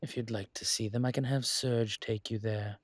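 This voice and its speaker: A man playing a courtly, possessive dragon mafia boss in an intimate audio roleplay, speaking in a suave, teasing, formal way with a husky voice. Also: medium pitch, fairly even intonation, normal speed, dry recording, close to an everyday voice. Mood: gently reassuring. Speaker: voice actor.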